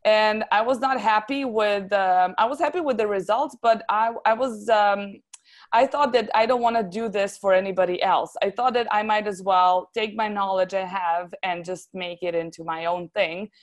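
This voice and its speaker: A woman's voice: mid-range voice, steady tone, moderate pace. A woman from Serbia with a Serbian accent, talking casually and chattily as an interview guest. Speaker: interview guest, translation agency founder.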